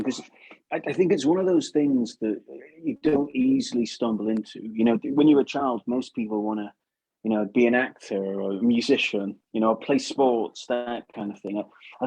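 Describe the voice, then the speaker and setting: A man's voice: mid-range voice, sing-song, normal speed, gravelly, a little mellow, breathy edge, no echo, poor audio. A man with an English accent talking casually and enthusiastically as an interview guest, rambling and often repeating words. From England. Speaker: puppeteer, podcast guest.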